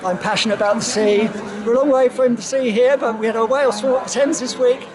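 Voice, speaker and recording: A man's voice: extremely high pitch, steady tone, fast talker. A man talking informally as a writer in a busy outdoor square, in short, heartfelt, repeated phrases. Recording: outdoors with crowd chatter.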